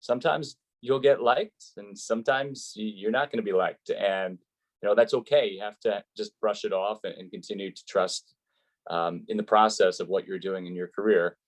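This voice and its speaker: A man's voice: fairly low pitch, steady tone, moderate pace. A man who works as a sports announcer, speaking as an interview guest in an articulate, explanatory, conversational way.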